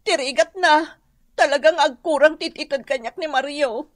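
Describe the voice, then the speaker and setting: A woman's voice: high-pitched, normal speed, lightly acted. A woman playing a whiny, indignant, debt-ridden married daughter in an Ilocano-accented radio comedy drama, exclaiming melodramatically. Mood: complaining.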